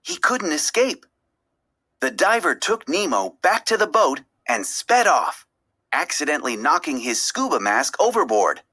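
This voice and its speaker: A woman reading a children's story aloud as an expressive, dramatic narrator. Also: sits low, a little slow, slightly rough. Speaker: storybook reader.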